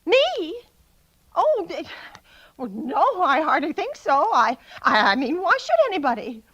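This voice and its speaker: Neurotic American invalid wife for vintage radio suspense: very high, sharp, imperious, self-pitying, stammers when flustered. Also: female voice, sing-song, measured pace, heavily acted, poor audio. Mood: nervous, fretful. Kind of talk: 1940s radio suspense acting.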